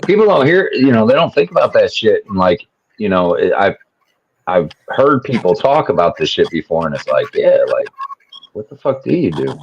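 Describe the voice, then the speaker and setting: Male, 40s, very low, husky, lively intonation, profane casual chat. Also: moderate pace, slight background noise. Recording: dull lo-fi microphone sound.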